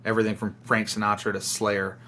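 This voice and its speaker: Low, even-toned man with bright edge. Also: fairly quick, a little hiss or hum. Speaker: band singer-songwriter being interviewed. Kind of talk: casual interview chat.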